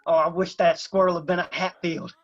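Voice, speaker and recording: An actor in a radio drama playing an excitable, boastful, hot-headed backwoods youngster with an Appalachian accent. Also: male voice, high-pitched, fairly quick, lo-fi sound, very noisy, close to an everyday voice. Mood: bloodthirsty glee. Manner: eager, breathless boasting.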